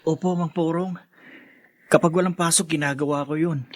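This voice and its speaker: A man's voice: on the high side, steady tone, normal speed, dry recording, clean studio sound, close to an everyday voice. An actor playing an earnest, hesitant teenage boy from a poor family in a Filipino radio drama, with a Filipino accent. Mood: respectful, wistful. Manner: hesitant, respectful.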